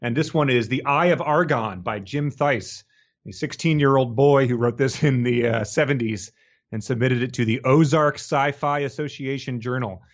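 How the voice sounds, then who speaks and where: A man's voice: mid-range voice, normal speed. A man co-hosting a podcast, chatting in an informal, jokey, rambling way. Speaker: podcast co-host. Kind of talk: casual chat, bantering about books. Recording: slightly dull, lo-fi audio.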